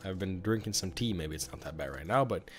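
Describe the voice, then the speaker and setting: A man's voice: deep voice, fairly quick, faint music behind. A young man with a slightly sick, off-sounding voice, explaining a strategy game in a casual, rambling tutorial. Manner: relaxed, rambling with many uh and um.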